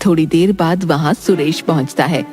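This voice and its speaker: A woman's voice: low voice, moderate pace, darkish tone, soft background music. A woman narrating a scripted moral folk tale as a storyteller.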